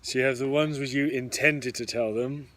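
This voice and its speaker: A man in a relaxed, easygoing podcast chat as co-host. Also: medium pitch, normal speed. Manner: relaxed, easygoing, frequent listening murmurs. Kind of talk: casual discussion.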